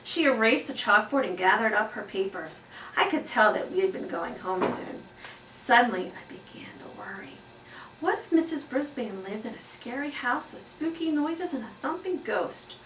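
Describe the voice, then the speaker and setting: A woman voicing a small, earnest pet hamster who tells his classroom story with expressive, emphatic delivery. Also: on the high side, normal speed. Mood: worried. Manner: expressive, exclaiming, emphatic read-aloud delivery. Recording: roomy echo, distant mic, faint noise.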